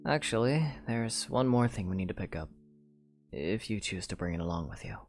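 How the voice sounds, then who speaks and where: An actor playing a quiet, earnest young knight who speaks softly and sincerely. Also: male voice, lowish voice, expressive, moderate pace, lightly acted. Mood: tender. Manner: soft-spoken, sincere, plain phrasing. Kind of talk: fan audio drama. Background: music.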